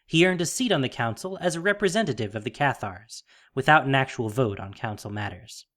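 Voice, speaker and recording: A man's voice: medium pitch, animated, fairly quick, a bit bright. A man reading scripted fantasy fiction as a dramatic narrator.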